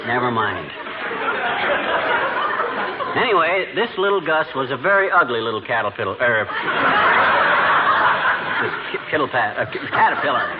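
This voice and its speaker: An actor playing a grumbling, excitable husband with a blustery, folksy, slangy manner in a 1940s radio comedy. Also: male voice, mid-range voice, normal speed, long pauses, a loud crowd, natural voice. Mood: folksy storytelling. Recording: noisy, muffled old radio recording.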